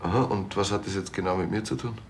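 A small-time crook in a TV drama, with a very deep voice. He is wary and wheedling, choosing polite words with dry hesitation. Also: steady tone, quick pace, gravelly, a little hiss or hum.

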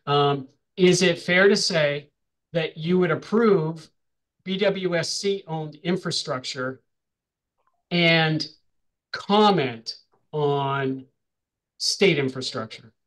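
A man with a fairly high-pitched voice chairs a virtual public hearing. He moderates in a procedural, conversational way, putting questions to others. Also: takes it slowly, long pauses, a bit bright, low-quality recording. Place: remote video call.

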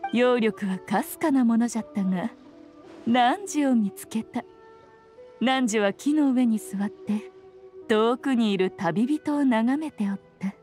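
A woman acting as an ancient fox spirit noblewoman with a fairly high voice. She speaks in archaic, lordly turns, teasing and fully self-assured, in anime game style over music. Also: a little mellow, lightly acted. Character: playful, commanding ancient fox spirit lady.

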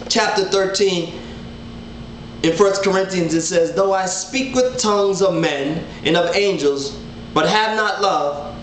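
A man's voice: sits high, slight background noise. A man in his thirties giving a casual Bible study talk to an online audience from his living room, earnest and full of gesture, often repeating words as he gathers his thoughts. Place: living room at home, indoors. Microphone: webcam at desk distance. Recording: roomy home webcam audio.

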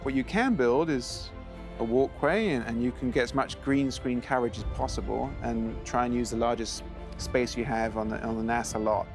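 A man's voice: medium pitch, moderate pace. A man from a film crew explaining technical work in an interview. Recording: music behind voice.

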